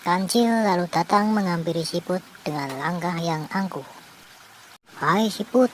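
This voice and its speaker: A woman narrating a children's story in a gentle, clear storybook manner. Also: fairly low pitch, moderate pace, slight background noise, poor audio.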